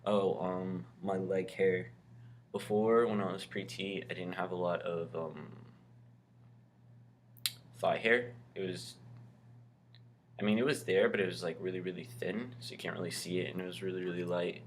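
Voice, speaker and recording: A man's voice: low voice, steady tone, moderate pace, pauses often, slight background noise. A young man talking casually to his camera in a vlog, rambling with lots of ums and likes. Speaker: vlogger.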